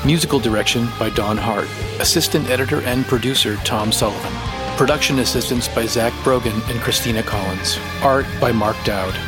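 Man reading podcast credits over guitar music. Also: medium pitch. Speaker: credits announcer. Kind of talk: scripted podcast credits read.

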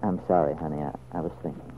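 An actor playing an anxious, tender middle-aged father in an old-time radio serial, speaking in a halting, earnest way. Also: extremely low pitch, steady tone. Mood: hesitant, gentle. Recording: muffled, noisy vintage radio recording.

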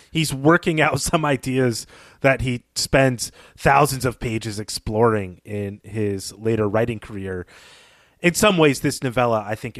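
A man's voice: mid-range voice, no echo, studio quality. A man co-hosting a literary podcast, talking through a story in a conversational, thoughtful, explanatory way. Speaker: podcast co-host.

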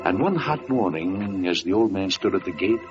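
An actor playing a solemn storyteller, narrating a biblical legend in elevated, dramatic prose for an old-time radio drama. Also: male voice, sits low, lively intonation, over music. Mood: solemn, compassionate. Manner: solemn, dramatic, measured literary phrasing. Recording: dull vintage radio recording.

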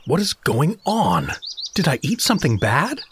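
An actor playing a hapless, grumbling suburban dad who talks to himself in an audio comedy drama. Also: male voice, a little high, huge pitch swings, normal speed, noisy background. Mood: bewildered. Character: tragically average, hapless suburban dad from South Dakota.

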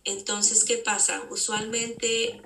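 A woman's voice: a little high, little pitch movement, slow, sharp tone, slight background noise. A woman therapist, a guest on a casual interview podcast, explaining earnestly and often restarting her sentences while she searches for words. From California. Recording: echoey room, distant mic.

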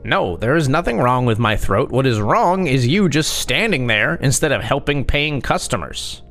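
A man's voice: medium pitch, lively intonation, moderate pace, fairly bright tone, soft background music. A man voicing a furious, entitled middle-aged woman shopper who screeches her demands. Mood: indignant.

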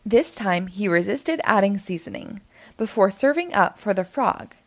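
A woman reading a children's picture book aloud in an animated storytelling style. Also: medium pitch, moderate pace.

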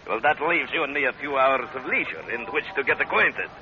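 An actor playing a smug, flattering pirate salvage boss in an old-time radio adventure, speaking formally and wordily with an ingratiating polish. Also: male voice, mid-range voice, brisk, a crowd in the background, lightly acted. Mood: suave, hospitable. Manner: suave, ingratiating, wordy and formal. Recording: dull, noisy vintage radio recording.

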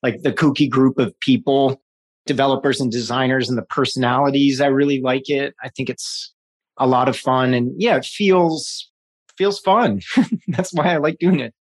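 A man's voice: mid-range voice, fairly even intonation, normal speed. A man in his forties from New York City, a guest talking loosely in an interview, with lots of restarts and fillers and frequent laughs. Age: 40s. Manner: rambling, many restarts and fillers, laughs often. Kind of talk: casual interview conversation.

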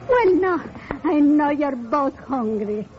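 An actress playing a wise, calm, middle-aged blind restaurant owner in a measured 1940s radio drama style. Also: female voice, sits high. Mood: gracious. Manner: composed, measured, philosophical. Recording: muffled, noisy old radio recording.

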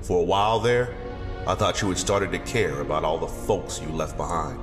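A man's voice: sits low, normal speed, close to an everyday voice. An actor playing a stern, disappointed older male mentor, grave and reproachful, in an audio drama. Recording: hall-like reverb under music.

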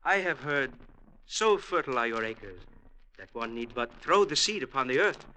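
An actor playing a sly, grandly pious Indian village mayor in a classic radio drama, speaking in a measured, formal, archly knowing way. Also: male voice, medium pitch, sing-song, pushed and bright. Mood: sly, probing. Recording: noisy old radio recording.

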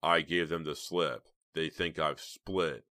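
A man's voice: rumbling low, steady tone, slow pace, bright, forceful tone, dry recording, studio quality, full character voice. A man playing a smug, menacing blackmailer in an audiobook story, needling and unruffled in manner. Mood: smug, cool.

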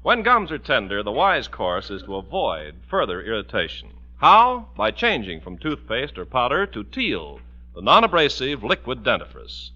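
A man's voice: mid-range voice, wildly swooping pitch, moderate pace, bright, forceful tone. A man with an American accent announcing on 1940s radio in a polished, emphatic scripted sales delivery. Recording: muffled 1940s radio broadcast.

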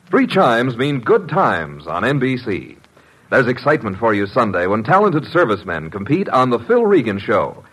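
A man's voice: mid-range voice, fairly bright tone, slightly rough, rough recording. A man announcing in a polished, scripted, promotional 1950s network radio style. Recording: vintage radio broadcast recording. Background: music.

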